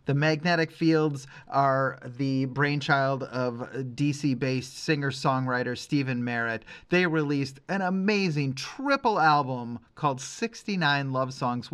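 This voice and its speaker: A man's voice: medium pitch, fairly bright tone, smooth. A man co-hosting a podcast in a casual, emphatic and effusive way, talking like a fan. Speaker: podcast co-host. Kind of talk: casual movie and music chat.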